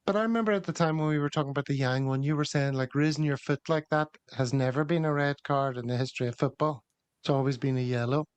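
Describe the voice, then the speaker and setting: A man's voice: mid-range voice, steady tone, fast, clean tone, no room sound. A man hosting a casual football podcast, reading viewer comments and running through match stats. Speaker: podcast host.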